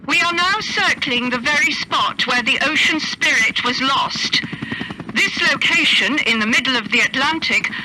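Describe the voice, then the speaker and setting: A woman playing a solemn news reporter delivering a formal, measured report in a radio play. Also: high voice, noisy background, close to an everyday voice. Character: solemn female news reporter circling a disaster site at sea.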